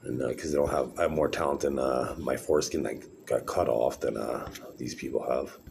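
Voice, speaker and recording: Very deep, gravelly-voiced middle-aged man. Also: steady tone, moderate pace, noisy background. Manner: meandering, many fillers and restarts. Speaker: vlogger showing his own videos. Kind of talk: rambling casual monologue to camera.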